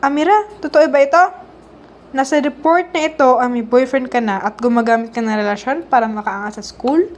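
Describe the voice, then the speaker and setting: A high voice of a woman acting as a stern female teacher in an amateur radio play. She addresses her class in scripted dialogue. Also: fairly quick, slight background noise, close to an everyday voice. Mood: shocked, questioning.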